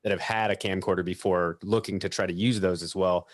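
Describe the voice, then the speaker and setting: A man with a deep voice speaks as an expert on a panel. He explains in a measured, practical manner and gives plain advice. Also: steady tone, no echo. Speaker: audio company expert on a panel.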